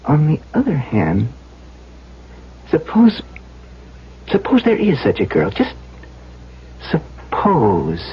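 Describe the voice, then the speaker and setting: Troubled, halting man, higher-pitched. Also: huge pitch swings, exaggerated voice. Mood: puzzled. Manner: halting, stammering, repeating words. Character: troubled, earnest man shaken by a nightmare. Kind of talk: 1970s radio drama acting. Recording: dull, faintly hissy 1970s radio recording.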